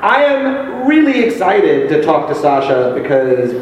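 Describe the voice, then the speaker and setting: A man moderating a convention panel, upbeat and joking, asking quick questions. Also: fairly high pitch, sing-song. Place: large convention hall. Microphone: handheld push-button mic from far. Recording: roomy hall audio from far off.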